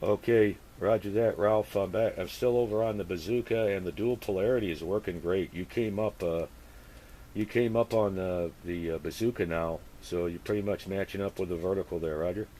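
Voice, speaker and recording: Low-voiced man on two-way radio, noisy recording. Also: dark tone. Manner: halting, thinking aloud. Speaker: amateur radio operator. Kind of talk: casual two-way radio chat with call-and-response procedure words.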